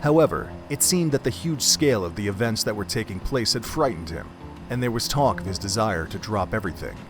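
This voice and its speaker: A male narrator tells a dramatic story from a script. His voice sits on the low side, in the style of audio drama narration. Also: expressive, brisk, music in the background.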